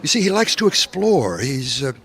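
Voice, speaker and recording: An actor playing a hesitant, placating, apologetic doctor in a radio mystery. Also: male voice, medium pitch, big pitch swings, normal speed, creaky, fairly bright tone, slightly rough, full character voice. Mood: apologetic, flustered. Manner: hesitant, placating, stammering with nervous chuckles. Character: flustered, apologetic male doctor with a patient.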